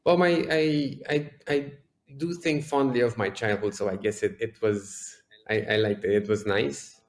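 A man's voice: lowish voice, steady tone, measured pace, echoey room. A man in his thirties from Romania, a startup founder answering interview questions hesitantly with dry humor. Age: 30s.

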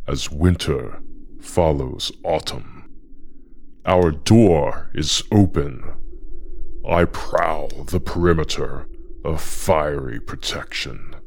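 An ancient, predatory spirit speaks from an audio drama. The voice is profoundly low and crawls along very slowly. It relishes every archaic phrase with hungry menace. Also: male voice, full character voice. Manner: slow, ominous, archaic poetic phrasing. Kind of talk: scripted audio drama monologue.

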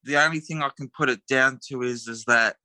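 Bright-voiced Australian man, laid-back. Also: medium pitch, fairly quick, dry recording. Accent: Australian, western Sydney. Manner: laid-back, searching for words, trails off. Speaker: guest. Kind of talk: casual chat.

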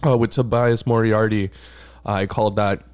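A man's voice: fairly low pitch, flat delivery, normal speed, darkish tone, smooth. A man co-hosting a casual podcast, rambling with lots of fillers and jokes. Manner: rambling, filler-heavy, joking. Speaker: podcast co-host.

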